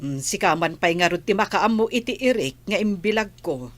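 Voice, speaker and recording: A woman's voice: medium pitch, animated, normal speed, close to an everyday voice. A woman acting a bossy, fussing village auntie in an Ilocano radio drama, with a Filipino accent.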